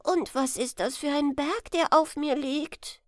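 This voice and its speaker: A woman's voice: high voice, unhurried, no room sound, studio quality, pitched above the performer's own voice. A woman voicing a small, timid orphan girl in a fairy tale, innocent and plaintive in her questions. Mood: bewildered.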